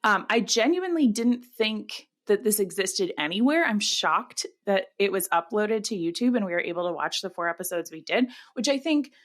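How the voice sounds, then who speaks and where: A woman's voice: mid-range voice, lively intonation, moderate pace, few pauses. A woman co-hosting a podcast in casual chat, with a jokey, self-deprecating way of talking. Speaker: podcast co-host.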